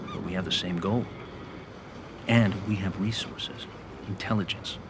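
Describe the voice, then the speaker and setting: A man's voice: deep voice, measured pace. A man playing a discreet, persuasive government agent in a crime game, speaking with restraint. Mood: restrained, persuasive. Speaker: video game character actor. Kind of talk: cinematic crime drama acting. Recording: noisy outdoor ambience in game audio.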